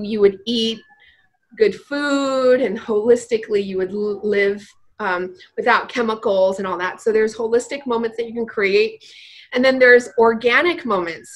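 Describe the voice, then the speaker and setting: Earnest, animated woman guest author. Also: a little high. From Vietnam. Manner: earnest, animated, heavy use of "like". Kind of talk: casual interview talk.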